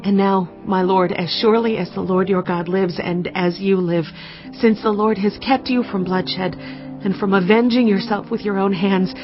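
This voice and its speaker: A woman acting a humble, wise noblewoman in a scripture audio drama. She speaks earnestly and reverently in measured phrases at a medium pitch. Music runs behind the slightly muffled recording. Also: steady tone, lightly acted. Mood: earnest pleading.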